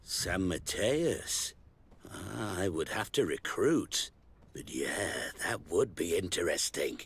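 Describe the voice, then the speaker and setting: Husky, shady brothel keeper. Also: male voice, low voice, lively intonation, takes it slowly, exaggerated voice. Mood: wary, bargaining. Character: shady, wary brothel keeper, opportunistic male merchant. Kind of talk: video game cutscene acting.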